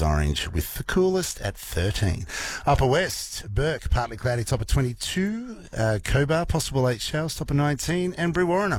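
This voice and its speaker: Croaky Australian radio host, husky. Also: male voice, on the low side, darkish tone, no room sound. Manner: chatty, playful, rambling asides. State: croaky morning voice. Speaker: radio presenter. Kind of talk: casual breakfast radio chat. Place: radio studio.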